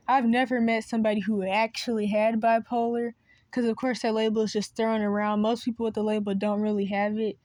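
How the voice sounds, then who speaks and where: Woman, mid-pitched, voice cracking, wandering unscripted monologue, dry close sound. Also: a little mellow, no room sound. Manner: meandering, loses her train of thought and restarts. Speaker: video creator.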